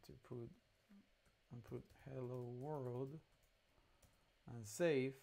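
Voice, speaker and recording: Young guy, doing a laid-out-as-he-goes coding tutorial, clean smooth voice, kinda hesitant. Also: medium pitch, a little mellow. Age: young adult. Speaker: instructor. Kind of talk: casual screencast tutorial narration. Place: indoor room at a computer.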